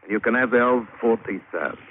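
An actor playing a rough, wily British seaman who tells his tale in salty, wry slang, in old radio drama style. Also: mid-range voice, fast talker, lightly acted. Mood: wary bargaining. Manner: salty colloquial sailor talk, wry storytelling. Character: rough, wily British seafaring adventurer spinning his yarn. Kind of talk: 1940s-50s radio drama acting and narration. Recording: tinny, noisy old radio broadcast.

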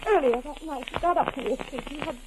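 A woman acts a devoted wife in a vintage radio drama, high-voiced and earnestly pleading. The delivery is melodramatic 1940s style, over a clearly noisy old recording. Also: fast talker, natural voice. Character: devoted, pleading wife, self-sacrificing and urgent.

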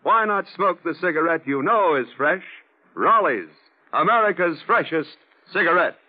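A man's voice: a little high, lively intonation, moderate pace. A man announcing in a scripted 1940s radio sponsor style. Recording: thin telephone-like old radio broadcast. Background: music.

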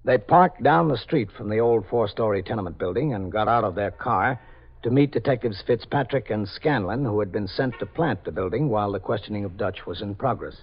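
A man reads formal, measured narration for a vintage radio crime series. His voice is slightly husky at a middle pitch. The old broadcast sound is dull and muffled. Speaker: announcer and narrator.

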